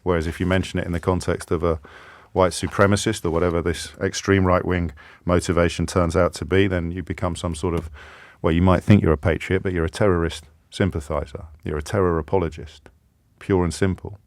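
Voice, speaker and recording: A man hosting a radio phone-in with an English accent, giving a forceful, rhetorical monologue that keeps repeating its questions. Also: deep voice, flat delivery, brisk, no room sound. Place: radio studio. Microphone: studio microphone.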